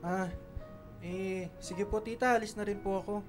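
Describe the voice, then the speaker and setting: A man's voice: high-pitched, steady tone, normal speed, soft background music, natural voice. Man acting a polite teenage Filipino boyfriend. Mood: polite, sheepish. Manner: respectful, soft-spoken. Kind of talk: Tagalog radio drama acting.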